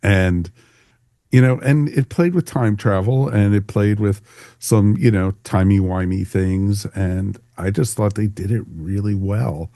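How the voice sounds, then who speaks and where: Deep-voiced man, casual podcast chat. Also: measured pace, warm tone, no room sound. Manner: enthusiastic, informal. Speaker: podcast co-host. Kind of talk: casual fan review chat.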